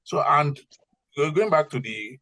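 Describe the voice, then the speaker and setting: A man's voice: fairly high pitch, lively intonation, slow pace, bright, forceful tone. Emphatic man talking football. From Africa. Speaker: football pundit. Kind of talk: opinionated sports analysis.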